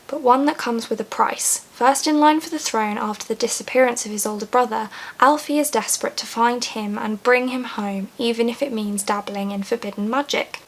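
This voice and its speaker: A woman's voice: a little high, poor audio. A young woman chatting enthusiastically through a book box unboxing in a small room, reading blurbs aloud. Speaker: book vlogger. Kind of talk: casual unboxing chat. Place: small room in front of bookshelves.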